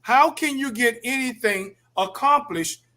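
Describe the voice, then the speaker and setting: A man moderating a panel discussion, addressing the guests directly in an animated way. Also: extremely high pitch, a little slow.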